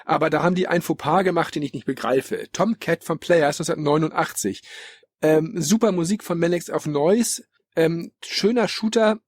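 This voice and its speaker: Husky, higher-pitched man. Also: moderate pace, no echo, studio quality. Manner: opinionated, emphatic, colloquial. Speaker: podcast host presenting a ranking. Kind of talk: casual retro-gaming podcast talk.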